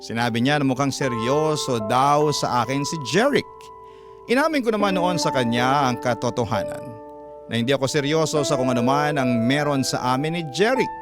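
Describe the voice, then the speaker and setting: A man's voice: mid-range voice, expressive, moderate pace, over music. A man with a Filipino accent narrating a scripted first-person story as a radio host in classic storytelling style.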